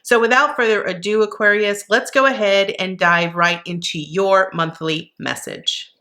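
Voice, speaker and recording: Slow, bright-voiced woman reading tarot cards. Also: mid-range voice, fairly even intonation. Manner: reflective and encouraging, with many fillers. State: rough voice with a slight cough. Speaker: psychic tarot reader. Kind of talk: monthly tarot card reading.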